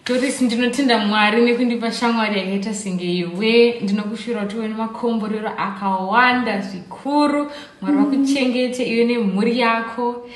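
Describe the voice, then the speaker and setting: A woman's voice: fairly high pitch, brisk. A young woman joking and giggling with friends at a dinner party. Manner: playful, giggly. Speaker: guest. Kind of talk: casual chat. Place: dining room, indoors. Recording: echoey room with chatter.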